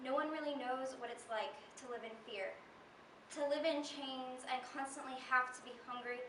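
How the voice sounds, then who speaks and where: A teenage young woman giving a deliberate, dramatic slam poetry performance. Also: sits high, steady tone, a bit bright. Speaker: slam poet. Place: live poetry event, recorded from a distance. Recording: distant echoey room recording with noise.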